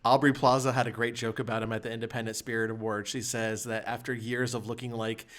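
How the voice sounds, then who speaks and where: A man's voice: mid-range voice, little pitch movement, fast talker, a bit bright. Blunt male podcast co-host. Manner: blunt, opinionated, swears casually. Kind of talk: casual chat and informal news commentary.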